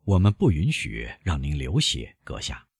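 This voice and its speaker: A man voicing a cold, calm male bandit chief, husky, low and quiet, flat and unmoved. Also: moderate pace, dry recording, clean studio sound, heavily acted.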